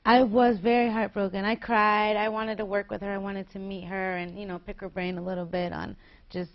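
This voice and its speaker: A woman's voice: medium pitch, flat delivery, a little fast. Giggly young woman, muffled recording. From New York. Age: 20s. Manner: bubbly, giggly, chatty. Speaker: guest singer. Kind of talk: casual interview.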